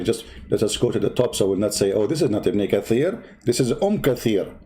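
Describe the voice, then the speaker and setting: A man's voice: mid-range voice, mellow. A man hosting a polemical commentary, mocking and insulting in an emphatic way, who sometimes hums to himself. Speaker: debate host. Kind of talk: polemical commentary over a video.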